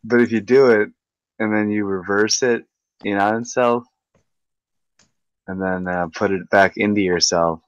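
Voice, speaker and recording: A man's voice: lowish voice, fairly even intonation, unhurried, long pauses, no room sound, dull audio. A man in his thirties co-hosting a casual livestream chat, laid-back and stammering through restarts. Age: 30s. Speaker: livestream co-host.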